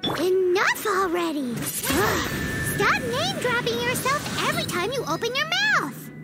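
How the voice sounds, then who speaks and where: A tiny childlike game fairy squeaks at an extremely high pitch. She is cheeky and blunt, swinging expressively as she complains. Loud music sits behind her cartoonish voice. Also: very animated, measured pace, full character voice. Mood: exasperated. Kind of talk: cartoonish video game voice acting. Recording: loud background music under dialogue.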